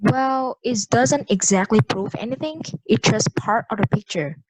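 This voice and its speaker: A woman's voice: on the high side, a little slow, no room sound, low-quality recording. A woman playing an unsure, mild-mannered juror in an amateur audio drama. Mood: hesitant.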